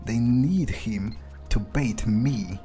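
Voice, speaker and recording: A man playing a cold, smug vampire in a video game playthrough, musing aloud in a deliberate, sardonic way. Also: medium pitch, dark tone. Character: cold, smug, ruthless male vampire on the prowl. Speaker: gamer role-playing in character. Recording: faint music behind the voice.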